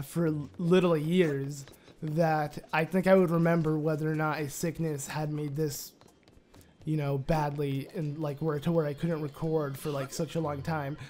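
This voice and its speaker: A man with a hoarse, raspy voice from a sore throat, rambling casually through gameplay commentary with lots of fillers. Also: a little high, darkish tone. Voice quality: raspy, voice cracking. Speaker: Let's Play commentator.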